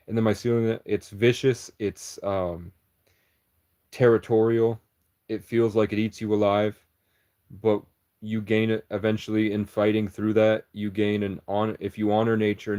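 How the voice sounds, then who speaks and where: Low-voiced man rambling on a stream. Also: mellow. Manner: meandering, conspiratorial monologue. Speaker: livestreamer answering chat. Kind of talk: rambling livestream chat.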